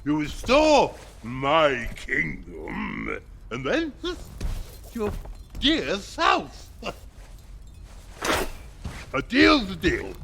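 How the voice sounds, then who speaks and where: A gluttonous old ogre king for a fantasy game, very slow and gravelly, with pitch that lurches wildly up and down. He is theatrical, sly and halting, as if savoring each phrase. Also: wildly swooping pitch, pushed and bright, slight background noise, full character voice. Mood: gleeful. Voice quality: gravelly, creaky. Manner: theatrical, halting, stammering, cackling. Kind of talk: video game character acting.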